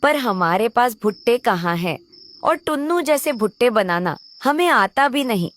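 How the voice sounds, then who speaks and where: Woman as a high-voiced, grumbling crow wife. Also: expressive, normal speed, lightly acted. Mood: complaining. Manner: complaining, skeptical. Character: discontented, doubting crow wife. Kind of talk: Hindi kids' cartoon dialogue.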